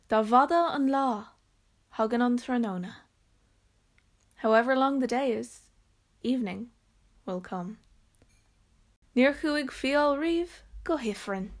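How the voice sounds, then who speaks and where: Slow-speaking Irish woman reciting proverbs. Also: on the high side, a little mellow. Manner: deliberate, measured recitation with pauses. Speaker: storyteller. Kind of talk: proverbs read aloud in Irish and English.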